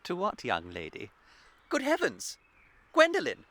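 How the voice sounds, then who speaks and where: A man's voice: medium pitch, big pitch swings, moderate pace, fairly bright tone. A man with a British accent playing a witty, unrepentant young Victorian dandy in a radio comedy, with a flippant, theatrical manner. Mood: theatrically affectionate, startled. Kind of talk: scripted radio comedy acting.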